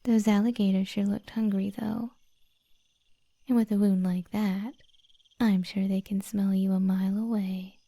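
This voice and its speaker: Female performer as a kind witch, very dark mellow tone, creaky breathy half-whisper, soothing ASMR roleplay, gently teasing. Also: medium pitch, little pitch movement, a little slow. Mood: teasing, coaxing. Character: kind, flirty, teasing witch healer in the woods. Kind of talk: soft-spoken ASMR roleplay.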